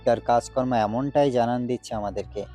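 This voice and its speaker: A man's voice: mid-range voice, a little fast, natural voice. A man voicing a grave demon hunter. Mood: earnest. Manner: earnest, solemn and steady. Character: resolute male demon hunter, grave and duty-bound. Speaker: voice actor. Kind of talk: Bengali audio drama acting. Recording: faint background music.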